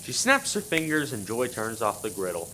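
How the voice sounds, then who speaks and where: A man's voice: mid-range voice, big pitch swings, moderate pace, bright, forceful tone, slight background noise, low-quality recording. A man giving scripted narration for a radio play as its narrator.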